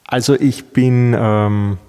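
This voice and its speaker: A man's voice: mid-range voice, steady tone, slow, darkish tone, smooth, big-room sound. A middle-aged man from Vienna with an Austrian accent, a law professor answering interview questions with many fillers and restarts. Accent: Viennese Austrian German.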